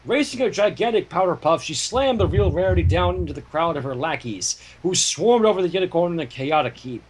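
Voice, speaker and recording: A man's voice: a little high, animated, fairly quick, a little hiss or hum. A man reading fan fiction aloud as a theatrical storytelling narrator.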